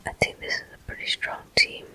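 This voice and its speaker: A person whispering unhurried ASMR commentary as the host of a video game walkthrough, in a soft, breathy, unvoiced voice.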